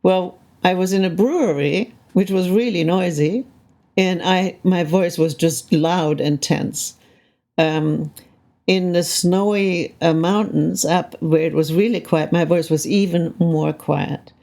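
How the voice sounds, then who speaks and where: Thoughtful older woman with a gravelly voice. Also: lowish voice, slow, mellow. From Canada. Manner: thoughtful, frequently adds "right?" to check in. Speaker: interview guest, soundscape composer. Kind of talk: reflective conversational interview.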